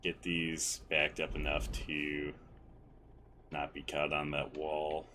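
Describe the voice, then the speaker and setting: A man calmly talking through a driving game as a streamer, with a mellow, fairly monotone voice and a rambling, self-correcting way of speaking. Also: a bass voice, slow pace, a little hiss or hum. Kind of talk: casual gameplay commentary.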